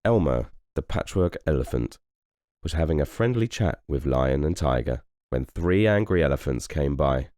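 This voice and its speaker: A man narrates a picture book for children in an expressive way. His voice is extremely deep, with a lively melody, recorded close in a dry space. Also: normal speed, dry recording. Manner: expressive storytelling. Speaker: storybook reader and host. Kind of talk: scripted children's story narration.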